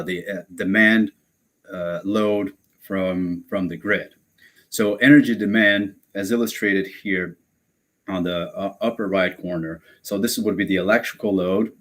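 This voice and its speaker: Brazil-born male lecturer, very low voice, halting and filler-heavy. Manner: hesitant, many fillers and restarts. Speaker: guest lecturer. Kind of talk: academic webinar lecture.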